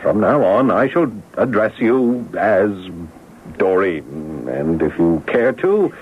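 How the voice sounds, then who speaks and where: Brusque, low-voiced executive, swooping intonation. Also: male voice, very animated, takes it slowly. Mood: baffled, placating. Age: middle-aged. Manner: impatient, flustered, authoritative. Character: brusque, baffled middle-aged male company executive. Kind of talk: old-time radio drama acting. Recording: tinny, noisy old radio broadcast.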